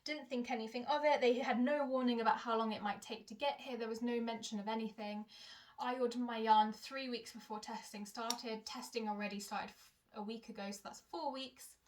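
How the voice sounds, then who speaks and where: Young woman, knitting vlogger; higher voice, casual, rambling, self-correcting chatter. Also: fairly even intonation, fairly quick, a little hiss or hum. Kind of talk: casual chat to camera. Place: home in Germany.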